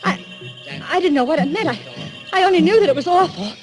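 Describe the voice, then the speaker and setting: Anxious, expressive woman recounting dread. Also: very high-pitched, quick pace. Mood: uneasy, haunted. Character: anxious, troubled young woman recounting horror to her brother. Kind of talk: 1940s radio drama acting and narration. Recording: old radio broadcast, music behind.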